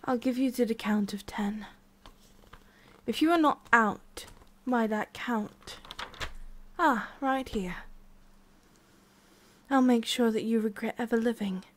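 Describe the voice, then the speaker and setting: Slow-talking haughty witch, acted by a woman. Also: on the high side, a little hiss or hum. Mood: menacing. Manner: condescending, scolding, theatrical pauses. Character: haughty centuries-old tsundere forest witch, disdainful of humans. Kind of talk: ASMR roleplay, addressing the listener.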